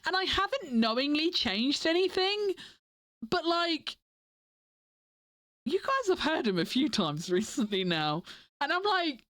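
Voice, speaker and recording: A woman's voice: sits high, a bit bright, no room sound, clean studio sound. A woman streamer chatting casually to her viewers, stuffy and nasal from a cold, rambling and giggling often. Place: home desk. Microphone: desk mic.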